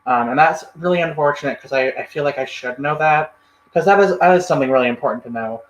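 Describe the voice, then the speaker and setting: A man's voice: a little high, fast, reverberant, low-quality recording. A man hosting a casual livestream, reading and answering chat comments in a rambling, friendly way with lots of fillers and restarts. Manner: friendly, thanks viewers often. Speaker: livestream host.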